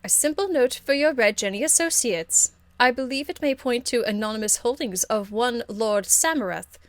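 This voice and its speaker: A woman voicing a haughty, aristocratic lady mage from a fantasy game, icily polite, measured and condescending. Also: a little high, no room sound, natural voice. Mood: cool disdain. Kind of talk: video game character acting.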